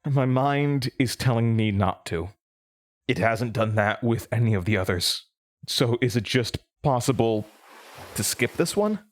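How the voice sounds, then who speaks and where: An actor playing a troubled, reluctant man in an audio drama, speaking haltingly and naturally. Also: mid-range voice, lively intonation, close to an everyday voice. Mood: reluctant, pleading. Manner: hesitant, halting. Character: reluctant, troubled man dreading his own lost memory.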